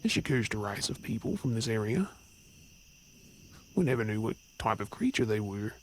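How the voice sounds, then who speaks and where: A man's voice: on the low side, sing-song, normal speed, low-quality recording. A man playing an anxious, blunt young male werewolf in an audio drama, sarcastic and out of his depth. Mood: uncertain, curious. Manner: blunt, agitated, sarcastic, casual swearing. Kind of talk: audio roleplay acting. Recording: background noise with chirping crickets.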